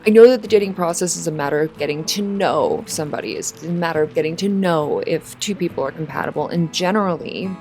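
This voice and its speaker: A woman playing a fragile, heartbroken woman after a breakup in an audio roleplay, halting and stammering as she doubts herself. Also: sits low, a little mellow, faint music behind. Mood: anxious, rambling. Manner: halting, stammering, rambling, sighing. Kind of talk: emotional audio roleplay acting.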